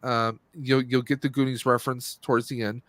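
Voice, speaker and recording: A man's voice: medium pitch, flat delivery, fairly quick, fairly bright tone, dry recording. A man hosting a casual movie review stream, talking in a rambling, conversational way and often rephrasing himself. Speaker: podcast host.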